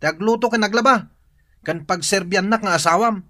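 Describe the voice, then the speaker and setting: An actor playing a lazy, domineering young husband in a radio drama, bossy and grumbling. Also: male voice, sits high, fairly quick, bright, forceful tone. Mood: angry, bossy.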